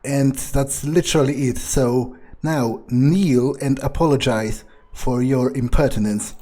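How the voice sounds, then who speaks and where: Arrogant brooding male vampire, played by a man for a game roleplay: dark, husky, slow, menacing. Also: medium pitch, close to an everyday voice. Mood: menacing, angry. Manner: haughty, slow, halting, menacing. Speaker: role-playing game streamer. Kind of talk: in-character game playthrough monologue.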